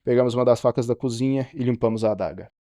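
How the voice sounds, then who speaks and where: A man acts as a matter-of-fact ship's cook in an animated mystery. He speaks fast and frankly in a close, dry sound, loyal and protective of his friend. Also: mid-range voice, dry recording, natural voice. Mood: confessing. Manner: frank, explanatory.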